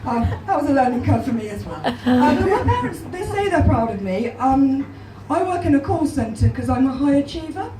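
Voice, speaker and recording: A woman in her twenties with an English accent and a hoarse, croaky natural voice, doing a self-deprecating stand-up set with a deadpan delivery. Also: high voice, a little fast, few pauses, noisy background. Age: 20s. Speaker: stand-up comedian. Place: small comedy club stage, live audience. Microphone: handheld stage mic, filmed from far back in the crowd. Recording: echoey club recorded from far off. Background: audience laughter.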